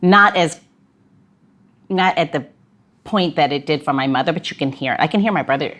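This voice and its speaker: Podcast host, woman, low voice, chatty and giggly, casual. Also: moderate pace, a bit bright. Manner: chatty, giggly, lots of fillers. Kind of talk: casual chat interview.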